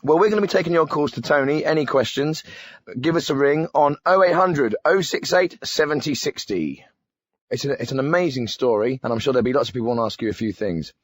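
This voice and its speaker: An actor playing a hapless, eager phone-in host with a British accent and a hesitant, repetitive way of talking. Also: male voice, medium pitch, a little fast, dry recording, natural voice. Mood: chatty.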